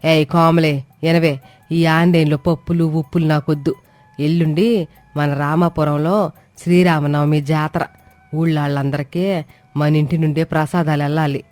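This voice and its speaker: A woman's voice: deep voice, little pitch movement, normal speed, darkish tone, no echo, natural voice. A woman voicing a domineering, sarcastic older mother-in-law in a cartoon moral story, scolding with pointed rhetorical questions. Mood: stern, commanding. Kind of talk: acted dialogue in an animated moral story. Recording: music behind the voice. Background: music.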